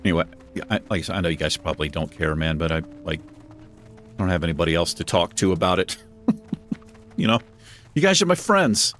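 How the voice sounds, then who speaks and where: A man with a deep voice hosting a gaming channel, chatting casually and self-deprecatingly while he plays. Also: expressive, a little fast, long pauses, a bit bright, faint music behind. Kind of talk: casual gameplay commentary. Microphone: desk microphone.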